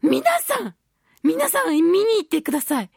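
A woman radio host talking casually to her listeners in an expressive, emphatic, chatty way, stretching words for emphasis. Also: extremely high pitch, normal speed, creaky, no room sound, studio quality. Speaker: radio show host and voice actress.

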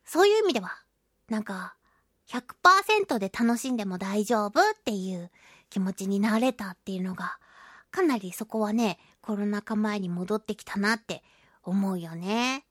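A woman's voice: medium pitch, animated, moderate pace, no room sound, studio quality. A woman hosting a casual radio talk show, chatting expressively and playfully with listeners as she reads their mail. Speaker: radio show host and voice actress.

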